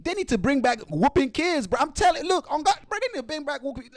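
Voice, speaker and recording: A man's voice: very high-pitched, sing-song, quick pace. A man from Nigeria hosting a reaction show, talking casually with heavy slang and swearing in an exasperated way. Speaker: host of a live reaction show. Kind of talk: casual ranting reaction commentary.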